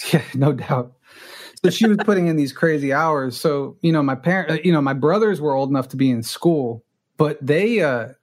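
A middle-aged man from Los Angeles whose first language was Spanish, a guest telling his own story in a candid, self-deprecating way with frequent restarts. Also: mid-range voice.

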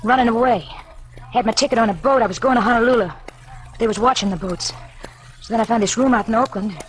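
A woman's voice: fairly high pitch, fast talker, lightly acted, poor audio. An actress playing a frightened, streetwise gangster's girlfriend on the run in a 1940s radio drama, talking anxious American slang. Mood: anxious, pleading. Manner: slangy, anxious moll talk.

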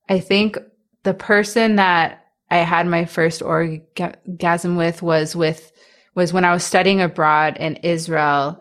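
Slow-talking young woman with a stutter. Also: on the low side. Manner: stutters, with repeated sounds and restarts; soft-spoken, laughs between thoughts. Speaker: interviewee. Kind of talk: candid personal interview.

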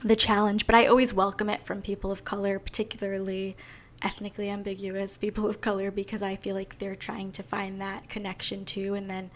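A woman co-hosting a podcast in casual chat, thoughtful and quick to laugh, with frequent fillers. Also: mid-range voice, monotone.

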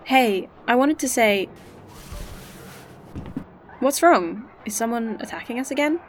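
A woman's voice: on the high side, animated, takes it slowly, soft background music. A woman playing an earnest young scientist displaced in time, in an audio drama.

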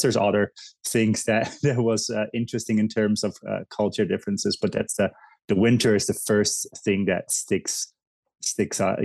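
A man from the Netherlands with a Dutch accent, chatting as a podcast guest, talking haltingly with lots of restarts and fillers. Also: fairly low pitch, vocal fry, darkish tone, no echo. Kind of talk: casual interview chat.

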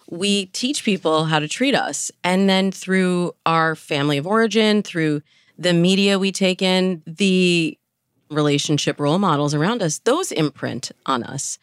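A woman with a low-pitched voice talks as a guest expert in an interview. She explains advice in a conversational way, using illustrative examples. The sound is dry and close, with no room echo. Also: clear, resonant tone. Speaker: dating coach, interview guest.

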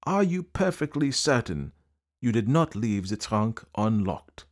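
A man voicing a fussy, precise male detective who asks polite, probing questions in a deliberate way. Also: medium pitch, big pitch swings, voice pushed up.

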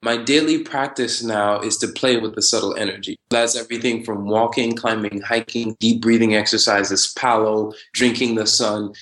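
A man in his twenties from Trinidad and Tobago, talking casually as a podcast guest, animated and quick to laugh. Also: lowish voice. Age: 20s. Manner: animated, laughs often, spiritual slang-heavy talk. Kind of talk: casual conversational interview.

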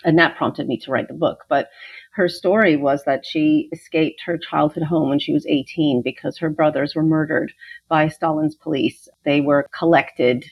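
A woman author whose first language is Ukrainian, telling her family story in an interview with lively, fluent storytelling. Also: low-pitched, moderate pace, slightly rough, no echo, lo-fi sound. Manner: animated, fluent storytelling with small restarts. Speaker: author, guest. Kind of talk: interview about her novel.

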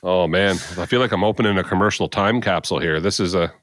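A middle-aged man co-hosting a podcast, telling nostalgic stories in a casual, animated chat. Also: low-pitched, quick pace, dry recording, studio quality. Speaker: podcast co-host.

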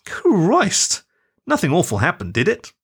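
A sturdy, caring female kangaroo, brisk and friendly in a raised voice, as a male storybook narrator puts her on. She talks in a down-to-earth way. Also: high-pitched, wildly swooping pitch, vocal fry, a bit bright, husky, voice pushed up, exaggerated voice.

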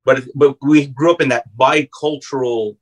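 A man from South Texas, a guest on an interview, telling animated anecdotes with lots of repeated words. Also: medium pitch, moderate pace. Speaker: guest actor. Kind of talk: conversational interview storytelling.

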